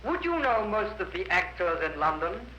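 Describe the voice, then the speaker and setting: Probing barrister, high and sharp. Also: male voice, very animated, normal speed, highs cut off, full character voice. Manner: clipped, polite, pointed questioning. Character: formal, probing male barrister questioning a witness. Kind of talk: 1950s radio courtroom drama acting. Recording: roomy, noisy vintage radio recording.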